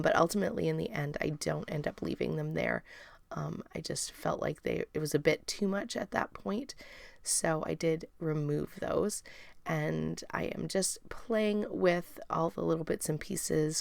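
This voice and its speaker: A woman with a raspy, strained voice talks through her scrapbook page in a casual voiceover, with plenty of ums and uhs. Her voice sits fairly low and has a heavy gravelly fry. It is recorded close and dry, with no room sound at all. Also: dry recording. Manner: relaxed, chatty, many ums and uhs. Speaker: scrapbooking video host. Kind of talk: casual voiceover narration of a craft process.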